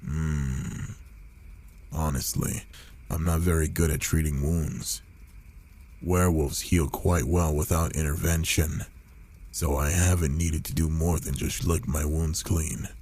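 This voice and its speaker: A man voicing a gruff, reclusive werewolf who is reluctantly caring and protective, speaking haltingly in an ASMR boyfriend roleplay. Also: extremely low pitch, steady tone, darkish tone, slight background noise, exaggerated voice. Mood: gently attentive. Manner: slow, halting, murmured delivery with pauses.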